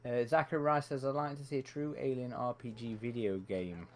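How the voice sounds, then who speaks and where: A man's voice: mid-range voice, fairly even intonation, brisk. A man, co-hosting a live game stream, talking in a thoughtful, hesitant way with many fillers. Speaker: stream co-host. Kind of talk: casual gaming chat.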